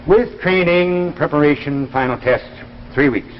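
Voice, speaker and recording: An actor playing an assured, mysterious government scientist, speaking formally and with measured authority in 1950s sci-fi drama style. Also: male voice, on the high side, natural voice. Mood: assured, persuasive. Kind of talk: 1950s science fiction anthology acting. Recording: muffled, noisy, reverberant 1950s broadcast.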